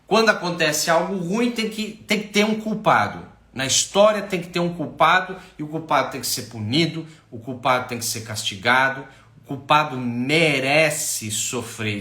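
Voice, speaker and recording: Animated man, bright echoey voice. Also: medium pitch. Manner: animated, repetitive lists, rhetorical questions, self-corrections. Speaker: health talk presenter. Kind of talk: casual spoken lecture to camera.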